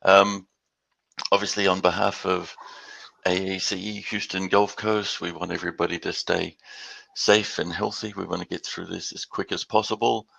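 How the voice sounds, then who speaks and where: An older man with an Australian accent giving a webinar presentation, halting and repeating words as he goes. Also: low voice, little pitch movement, vocal fry, fairly bright tone, husky, dry recording, rough recording. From Western Australia. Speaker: guest speaker.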